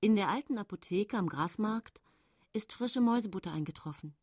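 A woman's voice: medium pitch, animated, a little fast, husky, no echo, clean studio sound. An actress playing a middle-aged female poisoner who confidingly narrates her own case in a German radio play.

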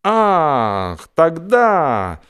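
A man's voice: on the high side, huge pitch swings, very slow, a bit bright, clear, resonant tone, pitched above the performer's own voice, heavily acted. A man voicing a boastful, pompous traveller in a comic radio story. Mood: puzzled, then brightening. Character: boastful traveller, self-important man who hides his seasickness.

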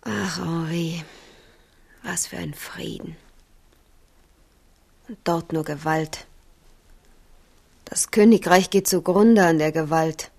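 Stolze junge Königin, eher tiefe Stimme. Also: female voice, on the low side, takes it slowly, creaky, pauses often, a little mellow, full character voice. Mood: melancholy. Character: proud, melancholy young queen, tender toward her husband. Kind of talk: radio drama acting.

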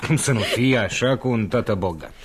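A man's voice: medium pitch, a crowd in the background, lightly acted. An actor playing a hearty, mildly sardonic married man from an amateur troupe, in Romanian radio theatre. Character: jovial, mildly sardonic husband, amateur stage lover.

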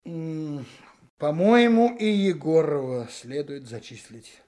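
An actor playing a fair-minded, kindly police colleague in a radio drama, speaking in a measured, considerate, slightly hesitant way. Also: male voice, a little high, mellow. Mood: advocating.

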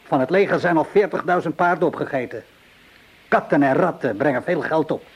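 An actor playing a middle-aged, cynical Parisian tavern keeper in a radio play, speaking in a weary, sardonic, measured way. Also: male voice, fairly high pitch, breathy edge. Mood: grim, weary. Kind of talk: Dutch radio play acting. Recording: noisy old radio play recording.

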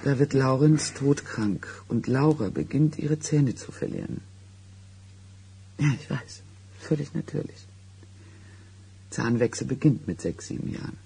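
An actor playing a haunted man in a German radio play, recounting an eerie childhood secret in halting, dramatic phrases, with a husky, gravelly voice. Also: medium pitch, animated, warm tone, a little hiss or hum. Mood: grave, remembering. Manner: halting, dramatic storytelling with weighted pauses.

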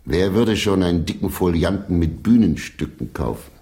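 An actor playing an earnest, doubtful English stage player of centuries past in a German radio drama, with a grave storytelling delivery. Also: male voice, sits low, lively intonation, moderate pace, dark tone. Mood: reminiscing.

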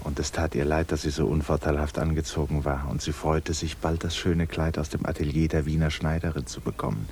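An actor as the measured narrator of a literary 1950s German radio drama, reading scripted narration. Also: male voice, extremely low pitch, fairly even intonation, darkish tone. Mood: reflective. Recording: noisy 1950s radio recording.

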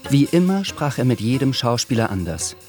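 A man's voice: medium pitch, very animated, normal speed, darkish tone. A man narrating a scripted literary radio drama. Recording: studio narration over music bed.

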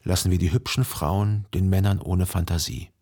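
Literary radio drama: contemplative, lovelorn narrator, measured delivery, raspy with heavy vocal fry. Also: male voice, deep voice, a little mellow. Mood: wry reflection. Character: reflective, lovelorn literary narrator recalling his own past.

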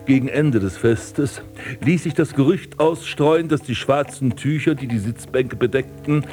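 A man's voice: mid-range voice, unhurried, a little mellow, close to an everyday voice. Pompous, haughty aristocrat played by a man, music behind. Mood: brooding storytelling. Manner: pompous, declamatory, ornate old-fashioned diction. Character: haughty aristocratic landscape gardener prince, vain and scornful. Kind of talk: literary radio drama acting, monologue and dialogue.

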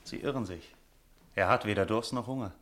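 An actor playing a cool, courteous young smuggler of about thirty in a radio play, speaking tersely and formally. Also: male voice, lowish voice, a little fast, fairly bright tone, close to an everyday voice. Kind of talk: German radio play dialogue. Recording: echoey room with faint noise.

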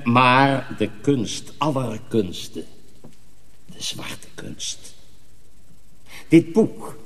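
Slow, stern sorcerer master, reverberant. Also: male voice, medium pitch, a bit bright. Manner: commanding, deliberate, solemn. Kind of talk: radio drama acting. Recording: long hall reverb.

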